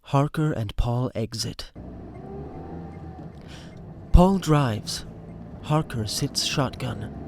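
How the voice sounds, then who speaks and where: A man's voice: medium pitch, extremely slow, gravelly, long pauses, background noise. A man reading scripted stage directions as the narrator of an audio drama. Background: music.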